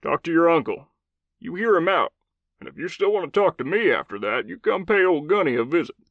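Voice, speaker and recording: A man voicing an older male commander, a gruff old cowboy with a thick Southern drawl who swears freely. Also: a little high, sing-song, brisk, no room sound, phone-quality sound, pitched above the performer's own voice. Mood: gruff consoling.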